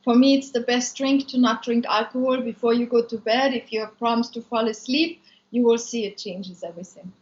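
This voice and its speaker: A woman's voice: fairly high pitch, fairly even intonation, a little fast. A woman from Austria with an Austrian German accent, chatting loosely on a live stream, answering viewer comments with many ums. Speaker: food maker and business owner. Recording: echoey room, dull lo-fi sound.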